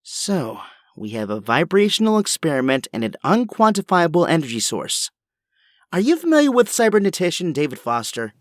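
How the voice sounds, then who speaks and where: A man's voice: on the high side, big pitch swings, moderate pace, no echo, clean studio sound. A man playing an earnest, brainy young inventor hero in an audio drama, with precise, technical, polite speech. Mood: puzzled, analytical.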